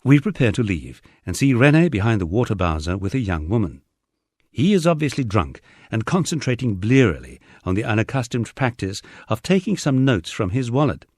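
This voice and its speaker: A man's voice: mid-range voice, big pitch swings, a little fast, darkish tone, dry recording, studio quality. A man reading a humorous memoir as scripted audiobook narration, with a wry storytelling manner.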